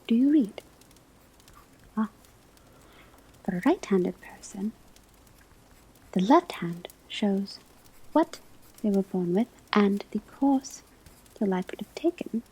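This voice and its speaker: A patient professor at a school of witchcraft, played by a woman, lectures in an ASMR roleplay. Her voice is very breathy and whispery, with a soft, dark warmth. She speaks slowly and formally, setting out each point with measured precision. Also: medium pitch, exaggerated voice, low-quality recording. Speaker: divination teacher in a roleplay.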